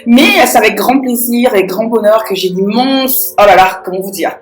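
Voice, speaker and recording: A woman hosting a live radio broadcast, her voice a little hoarse as she recovers from losing it. She rambles and hunts for the right words. The room around her rings noticeably, with faint music underneath. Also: mid-range voice, lively intonation, normal speed, echoey room. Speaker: radio co-host.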